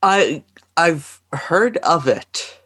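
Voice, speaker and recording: A man with a slightly hoarse voice chatting casually on a podcast, giving brief, wry, laid-back replies. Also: a little high, animated, extremely slow, fairly bright tone, no echo, clean studio sound. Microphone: microphone on a boom arm.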